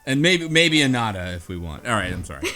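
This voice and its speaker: A man's voice: lowish voice, very animated, quick pace, pushed and bright. A man co-hosting a podcast in casual chat, interjecting with questions and comments. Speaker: podcast co-host. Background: music under the talk.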